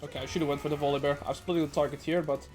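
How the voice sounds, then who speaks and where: A man talks quickly through gameplay like a coach, with a rather high voice. He sounds nasal and a little under the weather. Faint music plays behind him. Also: level tone, a little mellow. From Netherlands. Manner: brisk, explanatory, joking asides. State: slightly sick. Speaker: game coach. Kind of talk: gameplay commentary.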